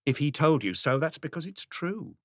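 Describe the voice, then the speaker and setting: A man's voice: on the high side, big pitch swings, moderate pace, fairly bright tone, no echo, clean studio sound, voice pushed up. A man voicing a wary, defensive woman with a curt, dismissive manner in a mystery audiobook.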